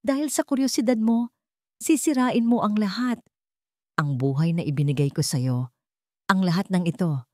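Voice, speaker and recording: A woman's voice: medium pitch, normal speed, pauses often, a little mellow, no room sound, natural voice. Cold rich husband in an audio drama, read by a woman: controlling, scornful, menacing, in a close dry recording. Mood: angry. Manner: heavy, emotionless, scornful words. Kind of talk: dramatic Tagalog storytelling.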